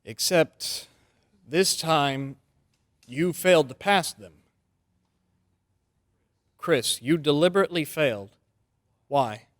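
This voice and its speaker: Casting: sincere, devoted attorney male actor, slightly high pitch, close dry recording, vintage radio acting. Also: takes it slowly, long pauses, dry recording. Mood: concerned. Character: earnest, loyal young male lawyer pleading for his friend. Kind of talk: old-time radio drama acting.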